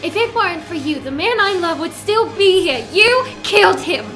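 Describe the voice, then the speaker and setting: A woman's voice: very high-pitched, expressive, moderate pace, fairly bright tone, faint music behind. A woman playing a young, taunting and vengeful female villain in a fan audio drama. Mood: furious. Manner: taunting, defiant.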